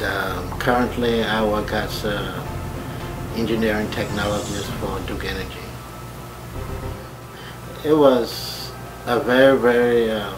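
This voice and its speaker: A man's voice: on the low side, low-quality recording. A man from Liberia, earnestly telling his own story in an interview. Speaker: engineering technologist interviewee. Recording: music bed underneath. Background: music.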